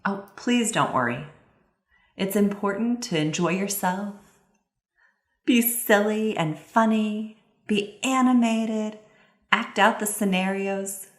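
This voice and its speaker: A woman giving instructional advice to parents in an expressive, emphatic way, with examples of reading aloud. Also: medium pitch, slow pace, roomy sound.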